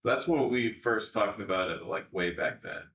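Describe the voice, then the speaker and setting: A man's voice: fairly low pitch, moderate pace, fairly bright tone. A man chatting casually and informally, recorded at some distance in a roomy space. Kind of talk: casual interjected chat.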